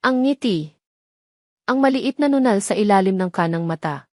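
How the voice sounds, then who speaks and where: A woman's voice: medium pitch, lively intonation, moderate pace, darkish tone, no echo, clean studio sound. A woman reading a scripted dramatic story as its narrator.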